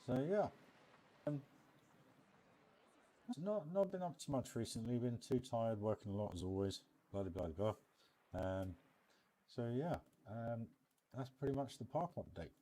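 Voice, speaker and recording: A man's voice: medium pitch, expressive, a little slow, long pauses, warm tone. A British man hosting a casual game live stream, chatting in a chummy way and calling viewers mate and bud. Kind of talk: casual live stream chat over a theme park building game.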